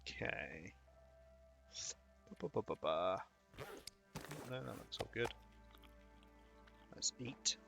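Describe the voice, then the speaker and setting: A man's voice: on the low side, animated, takes it slowly, gravelly, long pauses, a bit bright, soft background music. A young British man chatting casually while streaming a game, rambling and chuckling between remarks. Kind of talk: live gaming commentary.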